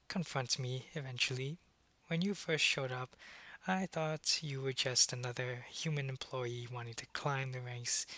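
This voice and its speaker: A man playing a suave, calculating vampire businessman in an ASMR roleplay, speaking in a measured, smug and politely menacing way. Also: medium pitch, a bit bright, no echo, natural voice. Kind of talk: ASMR roleplay audio drama.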